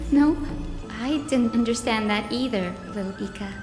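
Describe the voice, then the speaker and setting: Game casting: actress as earnest young maiden of a sky clan, gentle and courteous, fairly high, in a reverberant hall. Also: female voice, normal speed, lightly acted. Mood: friendly, playful. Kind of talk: video game voice acting. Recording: echoey hall reverb, music underneath.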